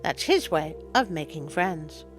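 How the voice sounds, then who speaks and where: A woman's voice: deep voice, animated, unhurried, soft background music. A woman voicing an easygoing, matter-of-fact father reassuring his family in a storybook.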